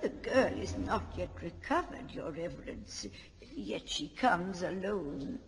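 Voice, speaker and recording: A woman playing a fussy, motherly old servant in an audio drama, with a breathy, scolding, muttering way of talking. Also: lowish voice, lively intonation, slow, a little hiss or hum. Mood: deferential. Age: older.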